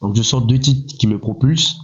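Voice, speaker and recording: A man in his thirties from Côte d'Ivoire, a rapper speaking in a measured, self-assured way, often pausing on "voilà" and asking himself rhetorical questions. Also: medium pitch. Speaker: rapper, interview guest. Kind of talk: casual interview talk. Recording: slightly muffled, lo-fi sound.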